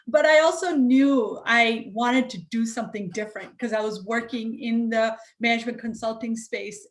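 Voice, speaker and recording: A woman entrepreneur answering as a panel guest, chatty and fluent, speaking over a video call. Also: a little high. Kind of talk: conversational answer.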